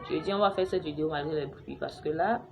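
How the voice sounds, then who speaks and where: Low-voiced woman from Cameroon, chatty. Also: quick pace, gravelly, crowd noise, noisy background. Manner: chatty, hesitant, with many fillers and restarts. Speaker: vlogger sharing a home remedy. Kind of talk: casual how-to talk to camera. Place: home, with noisy neighbours and children playing outside.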